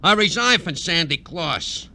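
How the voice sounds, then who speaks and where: Blustering, sharp-voiced tough ex-con. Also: male voice, a little high, lively intonation, measured pace, heavily acted. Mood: indignant. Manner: blustering, tough-guy street talk. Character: gruff, touchy middle-aged ex-con safecracker playing Santa. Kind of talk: 1950s radio drama acting. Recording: noisy old radio recording.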